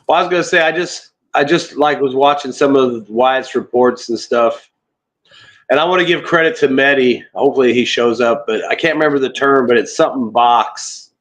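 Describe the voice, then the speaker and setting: A man joins a casual online voice chat, middle-pitched and unhurried. He rambles and hunts for the right term as he explains. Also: a bit bright, low-quality recording. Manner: rambling, searching for words.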